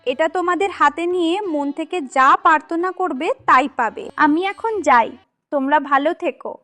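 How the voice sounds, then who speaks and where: A woman voicing a kindly young magical fairy in a cartoon story, gentle, sweet and reassuring. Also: high-pitched, normal speed, soft background music. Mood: grateful, generous. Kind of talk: cartoon moral story acting.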